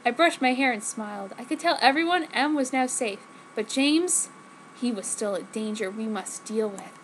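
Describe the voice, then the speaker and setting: A woman's voice: fairly high pitch, normal speed, low-quality recording. A woman giving an exaggerated, mock-serious dramatic reading of a story as an amateur fanfiction reader. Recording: noticeable background noise.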